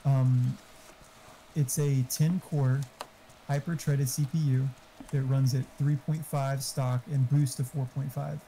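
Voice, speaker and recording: A man's voice: mid-range voice, flat delivery, takes it slowly, mellow, rough recording. A man chatting casually over an online game, talkative and profane, explaining tech. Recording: faint background noise.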